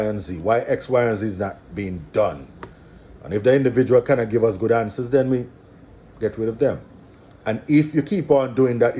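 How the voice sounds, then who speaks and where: A man with an Antiguan accent talks through his views in a loose, preachy monologue. His voice sits fairly low, and he hammers points home by repeating them. A faint hiss runs under the recording. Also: warm tone. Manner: preachy, emphatic, repeats phrases for stress. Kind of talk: casual monologue.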